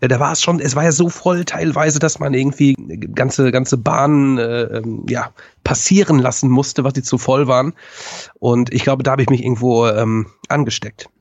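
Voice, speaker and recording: Ein erkälteter Mann mit norddeutschem Akzent, Co-Gastgeber, der zögerlich und mit vielen Füllwörtern plaudert. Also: male voice, mid-range voice, steady tone, normal speed, darkish tone, no echo, lo-fi sound. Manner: hesitant, many fillers. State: stuffy nose from a cold. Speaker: podcast co-host. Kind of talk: casual chat.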